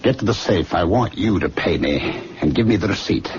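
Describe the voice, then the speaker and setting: Scheming young anatomy student, radio drama, insistent, smoothly threatening, very animated intonation, muffled recording with faint noise. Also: male voice, deep voice, a little fast. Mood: urgent, furtive. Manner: urgent, insistent, smoothly menacing. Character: ruthless, scheming young male medical student turned body snatcher. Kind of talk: old-time radio drama acting.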